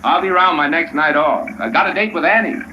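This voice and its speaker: A man's voice: fairly high pitch, animated, fast talker, muffled recording, lightly acted. An actor playing a friendly, teasing young policeman in an old-time radio drama, breezy and folksy. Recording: noisy vintage radio recording.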